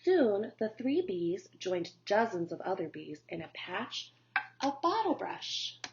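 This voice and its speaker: A woman teacher reading a children's picture book aloud in a slow, gentle storytelling manner. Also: mid-range voice, big pitch swings, slight background noise. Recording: dull lo-fi recording.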